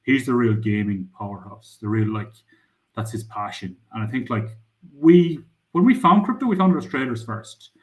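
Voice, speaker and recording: A man's voice: mid-range voice, expressive, moderate pace, rough recording. Middle-aged Irish man, rambling founder. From Ireland. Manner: rambling, frequent "I suppose" fillers. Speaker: startup founder. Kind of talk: casual podcast interview.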